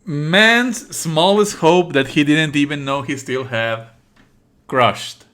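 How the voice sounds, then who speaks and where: A man's voice: fairly high pitch, slow, a bit bright, smooth. A man doing live let's play commentary as a streamer, emphatic, exasperated and prone to swearing.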